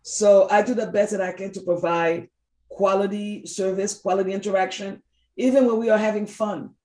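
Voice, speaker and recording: A woman's voice: mid-range voice, fairly even intonation, normal speed, mellow. A woman who speaks English as a second language, talking earnestly and emphatically as a coach in a casual conversational interview, repeating her key phrases. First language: not English. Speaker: team coach. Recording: roomy echo.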